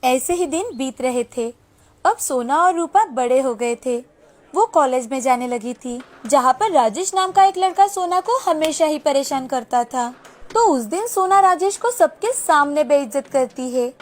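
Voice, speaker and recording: A woman's voice: high voice, lively intonation, unhurried. A woman narrating a scripted storybook tale as the storyteller, with music behind her. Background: background music.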